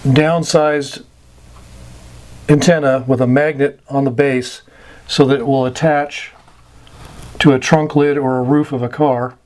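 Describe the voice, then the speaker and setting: Male instructor, slow and deliberate, mid-pitched, halting informal explainer delivery with long pauses. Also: fairly even intonation, darkish tone.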